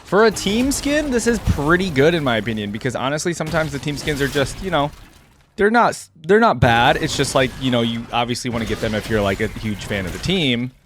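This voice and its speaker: A man giving casual, off-the-cuff reaction commentary as a video host, rambling as he thinks out loud. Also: medium pitch, lively intonation, a little fast, a little hiss or hum.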